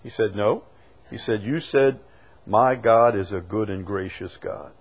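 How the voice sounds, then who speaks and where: Preacher with a swinging, emphatic delivery. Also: male voice, on the low side, a little slow. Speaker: preacher leading a Bible study. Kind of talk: teaching with questions from the congregation.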